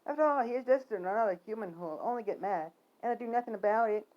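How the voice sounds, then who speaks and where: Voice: medium pitch, quick pace, lo-fi sound, voice pushed up, lightly acted. A storyteller voicing a crude, mischievous bird with a cheeky grumble.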